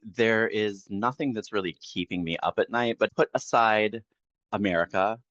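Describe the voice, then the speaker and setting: Deep-voiced podcast guest in their forties. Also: male voice, moderate pace, dry recording, dull audio. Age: 40s. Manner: relaxed, candid, laughs easily. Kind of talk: casual podcast interview.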